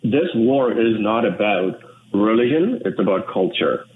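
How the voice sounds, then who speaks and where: A man from Sri Lanka, an English speaker, talking in an earnest, measured way as an interview guest. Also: lowish voice, expressive. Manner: measured, earnest, with frequent restarts. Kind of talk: reflective interview talk. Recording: tinny phone line with faint noise.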